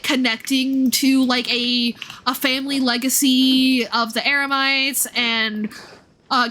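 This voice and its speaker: Female game streamer, higher bright voice, loose off-the-cuff commentary, meandering and conversational. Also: a little hiss or hum. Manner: informal, meandering, thinking aloud. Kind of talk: casual livestream chat and game commentary. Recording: music playing behind the voice. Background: background music.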